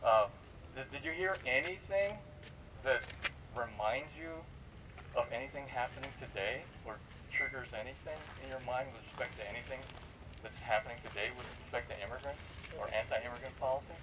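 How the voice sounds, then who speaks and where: A man's voice: medium pitch, a little fast. A man speaking informally as he leads a discussion with students, probing with questions and repeating words. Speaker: panel speaker leading audience discussion. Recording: distant mic, noisy room.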